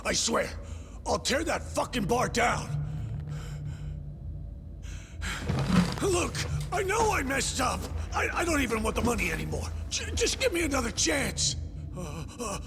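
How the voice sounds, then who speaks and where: An actor playing a craven low-life criminal in a video game drama, frantic and wheedling, with a strained voice. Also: male voice, sing-song, moderate pace, over loud music, full character voice. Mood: panicked pleading. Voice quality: strained, high, creaky.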